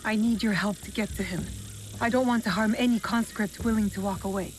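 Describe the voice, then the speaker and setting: A woman's voice: mid-range voice, fairly even intonation, brisk, a little hiss or hum, natural voice. An actress playing a determined female shinobi assassin in feudal Japan, cool, terse and direct, in a video game. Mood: resolute. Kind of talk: scripted video game cutscene acting.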